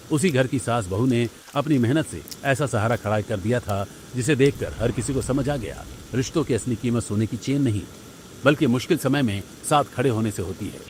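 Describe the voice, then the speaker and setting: A man's voice: medium pitch, a little mellow, a little hiss or hum. A man reading scripted story narration for a cartoon tale. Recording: background music under the voice.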